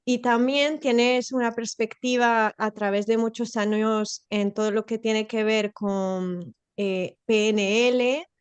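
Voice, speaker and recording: A woman whose first language is French speaks Spanish with a mixed accent, smooth and clear at a middle pitch. She talks in a friendly, halting way, with small restarts, in a dry close sound. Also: no room sound. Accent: mixed international Spanish. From France and Spain. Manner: friendly, hesitant restarts, light laughs. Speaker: co-host of an online talk. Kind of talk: casual conference introduction. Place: in-person event in Valencia.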